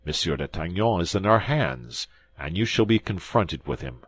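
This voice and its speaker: A severe, blustering commissary barks his questions in a deep voice with a lot of swing in it, as a man reading a story aloud puts him on. There is a slight breathiness in the voice, and the recording is close and dry. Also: expressive, moderate pace, slightly rough, no echo. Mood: accusing. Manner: loud, severe interrogating. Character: stern, blustering male police commissary.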